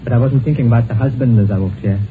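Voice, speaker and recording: Man playing an earnest gentleman doctor, tinny recording. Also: mid-range voice, very fast, close to an everyday voice. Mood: courteous. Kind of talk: old-time radio drama acting. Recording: tinny, noisy old radio recording.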